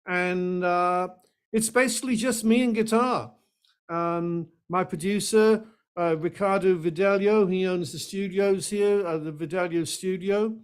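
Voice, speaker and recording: A man's voice: high voice, a little slow, clear, resonant tone. An older man of about seventy with a Welsh accent, introducing himself conversationally as an interview guest. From Wales. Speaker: guest, singer-songwriter and activist.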